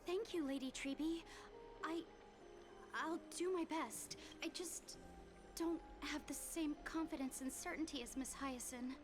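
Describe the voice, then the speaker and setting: A high-pitched young female apprentice in a game. She is timid and deferential, speaking hesitantly over clearly audible music. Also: a little slow. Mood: unsure, admiring. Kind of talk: scripted game dialogue.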